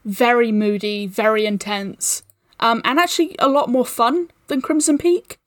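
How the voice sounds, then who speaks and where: Higher-voiced woman, close dry sound, film chat. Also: steady tone, moderate pace, dry recording. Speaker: podcast guest. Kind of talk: casual film discussion.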